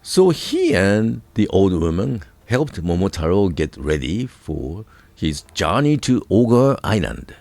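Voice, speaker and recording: A man narrating a scripted folk tale with a deliberate storytelling delivery. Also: deep voice, big pitch swings, vocal fry, darkish tone, dry recording, faint music behind.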